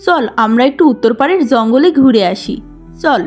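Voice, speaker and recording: A woman's voice: high-pitched, lively intonation, moderate pace, soft background music. A woman voicing a jealous, scheming young village woman, a bossy and spiteful elder sister in a cartoon tale. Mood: bossy coaxing. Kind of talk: cartoon fairy-tale acting.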